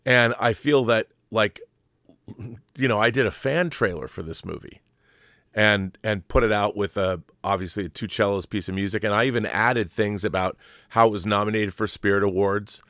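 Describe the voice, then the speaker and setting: A man's voice: lowish voice, normal speed, a bit bright, dry recording, clean studio sound. A middle-aged American man co-hosting a casual film discussion, opinionated and emphatic, often posing rhetorical questions. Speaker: talk show co-host.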